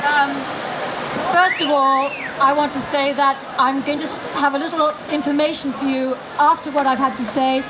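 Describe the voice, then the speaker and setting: A woman giving a political campaign speech outdoors, in a deliberate, emphatic oratorical manner with pauses. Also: high-pitched. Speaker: campaign speaker. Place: outdoors in a city square. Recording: outdoor rally PA with loud city traffic noise. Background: loud street ambience and vehicles.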